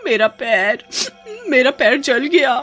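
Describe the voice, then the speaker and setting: A woman voicing a guilty, harsh young mother in an animated horror story, with exaggerated, melodramatic delivery. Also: high voice, slow, faint music behind, pitched above the performer's own voice. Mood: wailing in pain. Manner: wailing, stammering, exaggerated.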